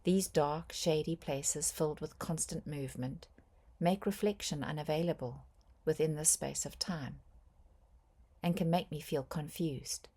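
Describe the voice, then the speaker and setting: A woman reading a scripted guided meditation in a soft, breathy voice, with gentle, measured phrasing and frequent pauses. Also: low voice.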